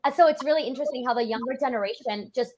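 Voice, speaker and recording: A young woman from Europe, a financial planner, chatting quickly and casually with lots of "like" fillers. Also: sits high, a little mellow. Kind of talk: casual interview chat.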